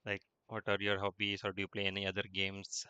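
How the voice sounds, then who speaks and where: A man chatting casually on a game livestream, halting and repeating himself as he reacts. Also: on the low side, completely flat delivery, sharp tone, smooth, no room sound, lo-fi sound. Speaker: game streamer. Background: game music.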